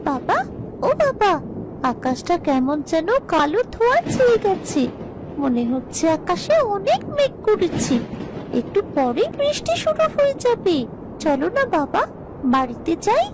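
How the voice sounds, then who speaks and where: A woman's voice: very high-pitched, very animated, measured pace, background noise, exaggerated voice. A woman voicing a young village girl in a cartoon, a caring daughter with a pleading, childlike manner. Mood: worried, pleading. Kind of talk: Bengali cartoon voice acting.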